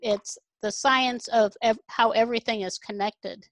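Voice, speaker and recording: A woman hosting an interview, explaining ideas in a reflective, measured way. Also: on the high side, fairly even intonation, fairly bright tone, no echo. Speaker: host.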